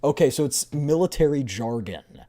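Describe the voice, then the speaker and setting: A man with a dark, soft-edged voice hosts a game readthrough. He moves between narration and casual asides, at a medium pitch. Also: animated, normal speed. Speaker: streamer.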